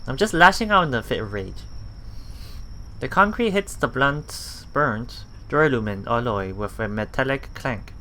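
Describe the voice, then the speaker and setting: A man reading a visual novel aloud as a let's play host, halting and self-correcting, with muttered casual asides. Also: mid-range voice, lively intonation, pushed and bright, slight background noise.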